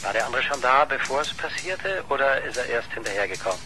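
An actor in a radio crime drama playing a pushy, sardonic newspaper reporter who needles insistently. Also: male voice, mid-range voice, expressive, fast talker, music in the background, lightly acted. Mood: probing, insistent.

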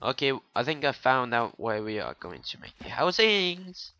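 Rambling young man with a bright voice. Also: mid-range voice, big pitch swings, moderate pace, dry recording. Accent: non-native English. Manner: rambling, swearing, joking to viewers. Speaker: gaming YouTuber. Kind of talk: casual gameplay commentary.

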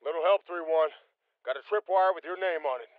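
A man's voice: fairly high pitch, fairly quick, no echo, narrow, tinny audio, natural voice. An actor playing a tough, confident American Marine squad leader in a video game, commanding his men in clipped, salty military jargon. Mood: low, wary. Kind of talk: video game combat dialogue.

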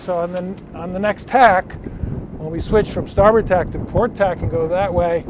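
Male sailing instructor, high-pitched, didactic delivery, recorded outdoors with clear wind and boat noise. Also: steady tone, normal speed. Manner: didactic, explanatory. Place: outdoors on a sailboat under way.

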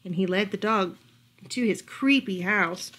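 A woman reading a children's picture book aloud at home in an animated storytelling style. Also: medium pitch, a little slow, a little hiss or hum. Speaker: librarian reading bedtime stories. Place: furnished room at home, indoors.

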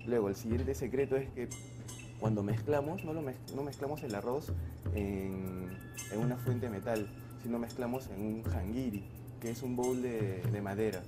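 Chef guy in his 40s, mid pitch, stops and restarts a lot, music in the background. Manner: halting, many restarts and fillers. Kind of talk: casual kitchen interview. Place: restaurant kitchen and sushi bar.

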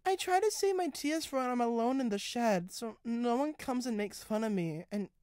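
A woman's voice: fairly high pitch, expressive, normal speed, no echo, studio quality, pitched above the performer's own voice, close to an everyday voice. A woman voicing a shy, anxious female talking steam engine in a storybook tale, hesitant and emotional. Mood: anxious, hurt. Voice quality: quiet, small voice.

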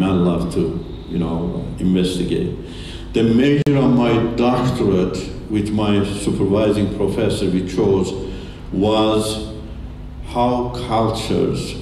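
A middle-aged man whose first language is Turkish, giving an earnest, halting testimony talk in a large church hall with a handheld mic. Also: low-pitched, mellow. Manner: earnest, halting, with many restarts. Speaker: guest speaker. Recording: echoey hall, distant mic, faint hiss.

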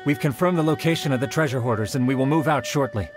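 An actor playing an earnest, dutiful young soldier in a fantasy video game, speaking formally and courteously in measured phrases. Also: male voice, mid-range voice, music in the background, lightly acted. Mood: formal, reassuring. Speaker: game character giving quest briefings. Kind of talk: scripted video game dialogue.

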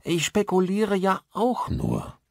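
A man acts out a middle-aged plumber in an audiobook thriller. He is casual and defensive, given to speculation, and his pitch moves freely in a dry, close recording. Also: wildly swooping pitch, slow, creaky, no room sound, heavily acted. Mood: defensive, musing.

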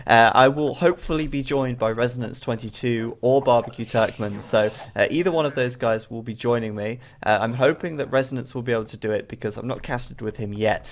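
A man's voice: medium pitch, a little fast, hardly any pauses. A young man with a cold and a stuffy nose, tired late at night, casting a strategy game match in rambling commentary full of fillers. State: bunged up with a cold. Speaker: game caster.

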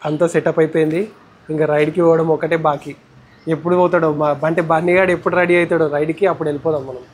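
Very fast-talking young man, Telangana Telugu accent. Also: fairly high pitch, level tone. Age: 20s. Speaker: vlogger. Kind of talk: casual how-to vlog talk mixed with English. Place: outdoors on a tiled house porch. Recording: faint ambient outdoor noise.

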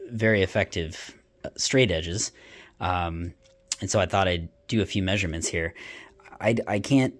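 A man's voice: on the low side, a bit bright. A man in a small home workshop, casually thinking aloud as he demonstrates a hands-on test, with plenty of fillers. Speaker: hobbyist welder demonstrating a test. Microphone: camera worn on the body, point-of-view framing.

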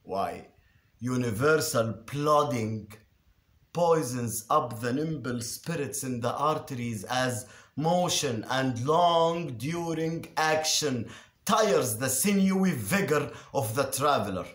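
A man reciting Shakespearean verse theatrically, declamatory and deliberate, with dramatic pauses and emphatic swells. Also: medium pitch, animated, fairly bright tone. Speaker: actor reciting a Shakespeare monologue. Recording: roomy, echoing space.

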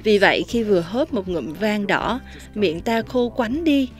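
A young woman hosting an outdoor interview, holding a handheld mic and translating her guest's words with lively delivery. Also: medium pitch, normal speed, soft background music. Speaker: host. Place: outdoor patio at a winery.

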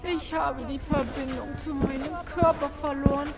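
A woman's voice: high voice, steady tone, moderate pace. An actress playing a loving, mystical female troll shaman in a fantasy audio drama, with a dramatic delivery. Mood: frightened.

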